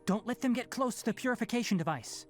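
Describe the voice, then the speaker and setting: An actor playing a young, composed, clinical forest ranger in an anime-style video game, speaking precisely and matter-of-factly. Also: male voice, extremely high pitch, animated, fast talker, soft background music. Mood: alert.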